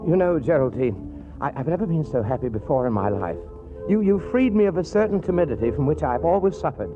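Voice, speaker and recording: An actor playing a timid, dithering, besotted rich eccentric in an old radio comedy, stammering and fussily formal. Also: male voice, fairly high pitch, sing-song, brisk. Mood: bashful, happy. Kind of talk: 1940s radio comedy acting. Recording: tinny old radio broadcast, music behind.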